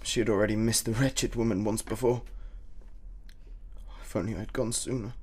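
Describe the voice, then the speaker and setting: An actor playing a well-bred Edwardian general and devoted husband with a British accent, in a radio play. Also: male voice, on the low side, fairly even intonation, normal speed, a little mellow, slightly rough. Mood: shaken, halting.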